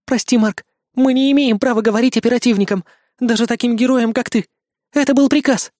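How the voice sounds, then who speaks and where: A remorseful young idol girl with general's rank, pitched well up and pushed louder than the reader's own talk, as a man puts her on. She pleads softly in a dry, close audiobook recording. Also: steady tone, a little fast, no echo. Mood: pleading. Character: young female idol who is a general, apologetic. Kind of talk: audiobook character voice.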